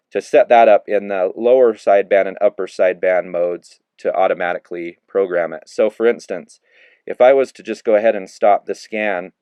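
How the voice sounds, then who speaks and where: A man giving a relaxed, casual hands-on review of a handheld radio, narrating each step with plenty of fillers as he works the controls. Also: fairly low pitch, smooth, no echo. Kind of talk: casual hands-on product demo.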